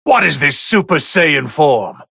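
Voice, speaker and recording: Imperious, bored video game deity commentator: theatrical and easily irked, forceful gravelly tone, pitched a bit high, very dry recording. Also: male voice, sing-song, a little slow, no room sound, heavily acted. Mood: bored disdain. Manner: pompous, theatrical, drawling disdain. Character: haughty, bored god of destruction, male, easily irritated. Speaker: fighting game commentator character.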